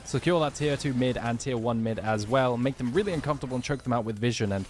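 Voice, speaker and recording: A man's voice: medium pitch, fast, darkish tone, slight background noise. A man casting a live esports match, giving breezy play-by-play and analysis. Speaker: esports caster.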